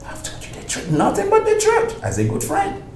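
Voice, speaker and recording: An actor playing a blunt friend who lectures with exasperation. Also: male voice, extremely high pitch, wildly swooping pitch, normal speed, faint music behind, full character voice. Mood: lecturing. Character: blunt friend giving advice. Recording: echoey room.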